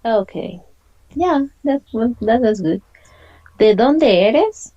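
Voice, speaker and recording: A woman's voice: on the high side, lively intonation, very slow, mellow, highs cut off. A woman, teaching an online language lesson and patiently explaining and repeating questions. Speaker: Spanish teacher.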